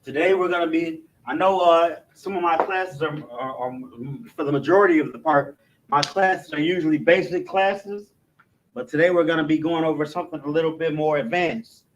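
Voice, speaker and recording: Man teaching a loose, halting class. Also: on the high side, a little hiss or hum, rough recording. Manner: loose and repetitive, stumbles and restarts, keeps asking "you know what I'm saying"; explains slowly, step by step. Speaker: religious language instructor. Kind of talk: informal classroom lecture.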